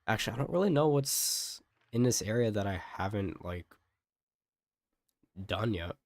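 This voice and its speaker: A man's voice: fairly low pitch, animated, moderate pace, a little mellow, no echo. A man streaming a game, chatting casually and thinking aloud in a rambling way. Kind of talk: casual live gameplay commentary. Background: game music.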